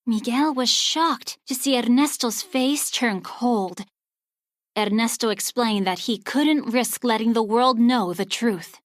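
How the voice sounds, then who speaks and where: A woman narrating a children's storybook aloud in an expressive, scripted read-along style. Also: on the high side, slow pace, no echo, studio quality.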